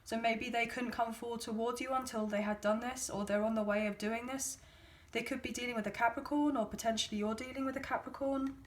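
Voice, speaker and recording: A woman with a slightly croaky, hoarse voice, giving a casual tarot reading to her viewers in a chatty, gently encouraging way with frequent ums. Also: a little high, fairly even intonation, fairly quick, few pauses. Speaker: tarot card reader.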